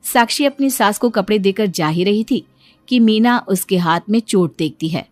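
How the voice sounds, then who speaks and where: A woman's voice: medium pitch, normal speed, no echo. A woman narrating a scripted moral story as its storyteller.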